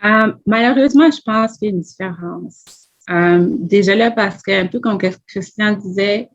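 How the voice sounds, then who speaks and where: A woman's voice: medium pitch, moderate pace. A woman, a podcast guest speaking earnestly in a panel discussion. She builds her points one after another and presses with questions. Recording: dull lo-fi audio.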